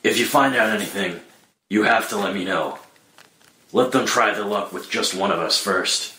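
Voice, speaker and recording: Guy doing a grim distrustful vigilante hero, lowish forceful voice, terse and demanding, echoey noisy recording. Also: moderate pace. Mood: stern, resolute. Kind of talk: dramatic screenplay table read. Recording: roomy echo with noticeable background noise.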